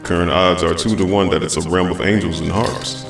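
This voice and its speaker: A man's voice: low voice, steady tone, a little fast, gravelly, far from the mic. A man playing a solemn, patient superhero in a comic-book drama, formal and serious, in an echoey sound. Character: solemn, patient male superhero delivering status report. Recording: echoey, loud music under voice. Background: loud music.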